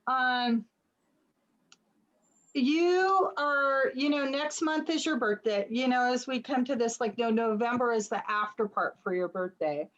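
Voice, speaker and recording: A woman's voice: fairly high pitch, measured pace, fairly bright tone. A woman giving a casual, conversational reading as a tarot reader over a video call, reassuring and encouraging. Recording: slightly muffled video call audio.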